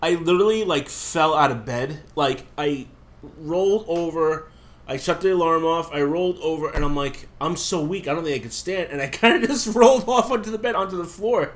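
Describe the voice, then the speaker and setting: A man's voice: a little high, normal speed. A man with a cough, sick, co-hosting a podcast and rambling casually with frequent swearing. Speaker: podcast co-host. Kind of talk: casual chat.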